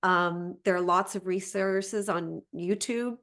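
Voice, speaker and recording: A woman's voice: on the low side, steady tone, slow, a bit bright. A woman speaking as a language teacher and interview guest, explaining conversationally and often circling back over her phrasing.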